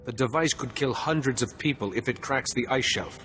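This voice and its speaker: An actor playing a calm, principled, earnest warrior monk in a video game, speaking in a measured, formal way. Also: male voice, medium pitch, big-room sound, faint music behind, natural voice. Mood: firm. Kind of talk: video game cutscene acting.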